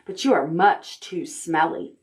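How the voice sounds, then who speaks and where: A woman voicing a friendly big fluffy bear in a picture book during storytime, speaking gently. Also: lowish voice, measured pace, voice pushed down, natural voice. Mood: kindly. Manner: gentle, storybook read-aloud.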